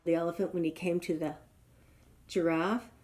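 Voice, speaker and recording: A woman's voice: on the low side, steady tone, moderate pace, a little mellow. A woman reading a children's storybook aloud expressively. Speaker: storybook reader.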